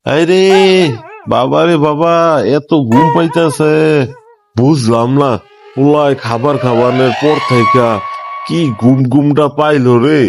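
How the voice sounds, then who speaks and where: A man's voice: medium pitch, unhurried, background noise, lightly acted. A man playing a bewildered, aggrieved old father in a comic cartoon, grumbling with a Bangladeshi accent. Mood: drowsy, confused. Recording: music behind the voice.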